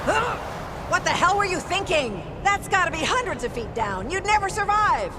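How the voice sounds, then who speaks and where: An actress playing a hot-tempered, protective young character in an anime dub, brash and exclamatory. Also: female voice, extremely high pitch, big pitch swings, normal speed. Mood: alarmed, scolding. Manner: scolding, exclamatory. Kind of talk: dubbed anime acting. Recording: loud ambient noise behind.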